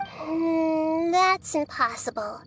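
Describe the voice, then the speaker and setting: A woman voicing a small, wise young girl deity in a video game, composed and thoughtful, explaining in measured phrases. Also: extremely high pitch, animated, loud music behind the voice, exaggerated voice, poor audio. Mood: pondering. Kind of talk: video game cutscene voice acting.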